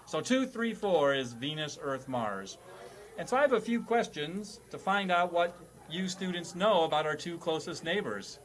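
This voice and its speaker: A man with a fairly high voice hosts a comedy panel quiz. He speaks with mock-teacherly formality and a halting delivery, as if running a classroom. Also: animated, poor audio. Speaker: host and quizmaster playing a classroom teacher.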